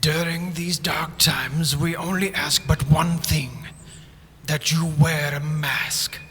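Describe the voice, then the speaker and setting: A man's voice: fairly high pitch, steady tone, slow pace, sharp tone, faint music behind. A man playing a grandiose shopkeeper who proclaims like an ancient warrior-king in a comic radio skit. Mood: solemn, stern. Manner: pompous, theatrical archaic proclaiming.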